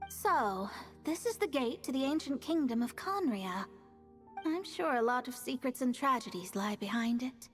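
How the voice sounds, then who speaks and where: A proud young female astrologer from a fantasy game. Her voice is high and very animated, with a brisk, theatrical, self-assured delivery over faint music. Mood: matter-of-fact. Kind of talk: video game voice acting.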